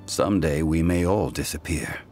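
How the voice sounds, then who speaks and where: Deep-voiced, solemn ancient god. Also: male voice, over music. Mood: solemn, reflective. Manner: formal, deliberate, reflective. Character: ancient solemn god in human guise, measured and courtly. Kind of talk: scripted game voice acting.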